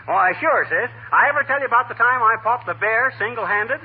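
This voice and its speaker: High-pitched, blustery radio husband. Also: male voice, lively intonation, normal speed, husky, full character voice. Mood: boastful, flustered. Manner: folksy, chuckling, blustery. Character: blustering middle-aged husband, tall-tale teller, easily flustered. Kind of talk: 1940s radio comedy acting. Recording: tinny, faintly noisy 1940s radio recording.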